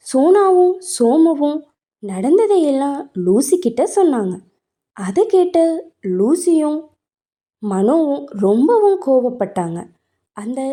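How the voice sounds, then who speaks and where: Casting: female children's story narrator, high and animated, with a very soft, warm tone.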